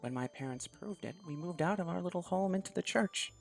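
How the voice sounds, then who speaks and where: Gravelly soft-spoken male reader. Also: high-pitched, brisk, soft background music. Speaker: video game YouTuber. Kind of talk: reading a visual novel aloud in first person.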